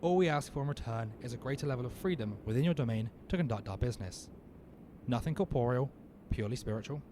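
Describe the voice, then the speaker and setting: A man playing a grave necromancer businessman in an audio drama, formal, measured and deferential. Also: medium pitch, expressive, mellow, slight background noise, close to an everyday voice. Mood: formal, businesslike. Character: smooth, grave necromancer businessman, politely ominous.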